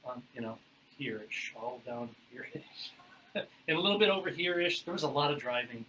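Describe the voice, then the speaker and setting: A man broker co-hosting a casual chat, low-key and dry with wry one-liners. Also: lively intonation, normal speed, pushed and bright, a little hiss or hum, low-quality recording. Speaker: broker co-hosting a local real estate show.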